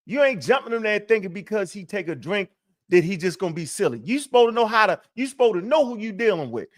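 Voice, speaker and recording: A man with a high voice co-hosts a live online talk, animated and full of street slang. He keeps tossing in asides to the people he is talking with. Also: quick pace. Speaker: co-host. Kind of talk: casual live-stream chat.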